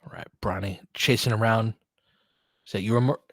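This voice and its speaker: A man's voice: fairly low pitch, steady tone, brisk, fairly bright tone, no echo, studio quality. A man doing casual live basketball play-by-play, talking conversationally and adding his own opinions as he goes. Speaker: play-by-play commentator and host. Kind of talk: casual live basketball commentary with fan chat.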